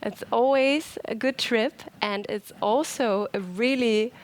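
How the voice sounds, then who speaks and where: A woman's voice: a little high, slow pace, a little hiss or hum. A woman moderating a film festival interview in a conversational way.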